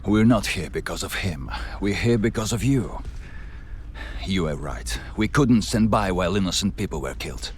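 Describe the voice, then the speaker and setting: An actor playing a sombre, loyal resistance fighter in a video game, steady and sincere. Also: male voice, deep voice, moderate pace, a little hiss or hum. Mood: solemn reassurance. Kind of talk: video game cutscene acting. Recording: faint game music behind.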